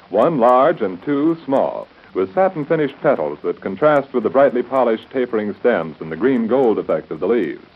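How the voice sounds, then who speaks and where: A man's voice: mid-range voice, husky. A man announcing a scripted 1930s radio commercial in a polished, persuasive sales delivery. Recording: muffled, narrow-band 1930s radio broadcast.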